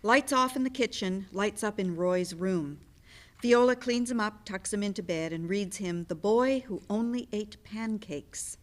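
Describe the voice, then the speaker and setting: A woman's voice: mid-range voice, a little slow. A woman narrating scripted stage directions for a play reading.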